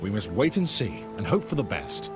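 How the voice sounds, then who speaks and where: Very expressive, inquisitive male adventurer. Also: fairly low pitch, normal speed, music in the background. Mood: earnest. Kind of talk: video game cutscene acting.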